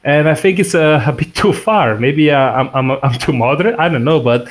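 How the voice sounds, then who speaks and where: A man co-hosting a podcast, talking in halting phrases and pausing often as he thinks aloud. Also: on the high side. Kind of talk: casual podcast discussion.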